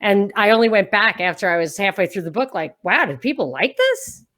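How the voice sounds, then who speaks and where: A middle-aged woman chatting as a podcast guest on a video call, talkative and quick to laugh. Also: mid-range voice, very animated, fairly bright tone. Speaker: podcast guest, co-host of another show. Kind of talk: casual chat. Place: home room indoors. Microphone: headphones, video call.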